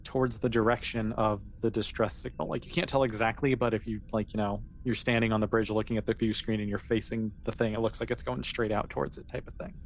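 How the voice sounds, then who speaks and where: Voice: mid-range voice, fairly even intonation, quick pace, hardly any pauses, slight background noise. A game master narrating a tabletop game off the cuff, explaining things in a loose, conversational way full of asides.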